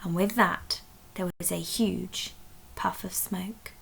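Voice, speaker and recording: A woman reading a children's story aloud, expressive and dramatic, speaking directly to young listeners. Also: on the low side, takes it slowly, gravelly, husky, rough recording. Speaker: story reader at a primary school.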